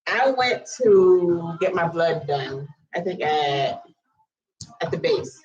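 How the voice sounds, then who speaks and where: A woman's voice: on the low side, lively intonation, slow, darkish tone, muffled recording. A woman of about thirty, telling a personal story casually in a chatty, slangy way full of asides. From US Virgin Islands. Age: around 30. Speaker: storytelling vlogger.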